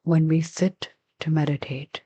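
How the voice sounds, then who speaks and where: A woman leading a guided meditation as a teacher, giving gentle, unhurried instructions with long pauses. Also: low-pitched, little pitch movement, lo-fi sound.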